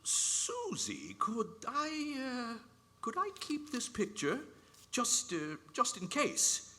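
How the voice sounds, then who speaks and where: A jolly old santa type for radio, slow and swooping all over, grandfatherly. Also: extremely high pitch, huge pitch swings, sharp tone, exaggerated voice. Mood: tender, gentle. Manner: chuckling, hesitant, encouraging, grandfatherly. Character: kindly, playful old Santa Claus-like gentleman. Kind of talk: theatrical live radio-play acting.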